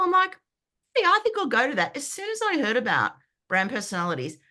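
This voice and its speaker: A woman in her fifties, croaky from a sinus infection, chatting enthusiastically as a guest on a video call, with lots of fillers and restarts. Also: medium pitch, pushed and bright. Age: 50s. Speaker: brand foundations coach. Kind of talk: guest on an online group call.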